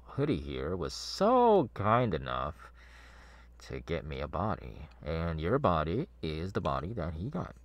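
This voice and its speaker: A man playing a demon's masked henchman in an ASMR horror roleplay, chatty and halting, with mock-soothing menace. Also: low voice, vocal fry, dry recording, full character voice. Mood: casual, apologetic. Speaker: ASMR voice actor.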